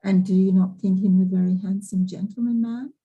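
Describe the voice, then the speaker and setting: An actress plays a proud housekeeper in a period drama. Her medium-pitched voice is dark and husky. She speaks earnestly and deferentially, devoted to the house she serves. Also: steady tone, fairly quick, dull audio, close to an everyday voice. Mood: fond pride. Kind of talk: staged reading of period drama.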